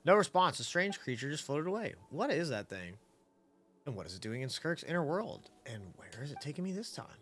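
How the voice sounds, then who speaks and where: A man's voice: on the high side, big pitch swings, brisk, a bit bright, slight background noise. A man streaming a video game, reacting casually and expressively in slangy exclamations. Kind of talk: casual live gameplay reaction commentary. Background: game music.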